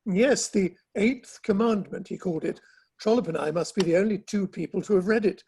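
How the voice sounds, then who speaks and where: An actor playing a gossipy Victorian literary gentleman, clipped and droll, with a raspy voice, in a dramatic play reading. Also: high-pitched, a little fast, slight background noise. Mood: droll disapproval.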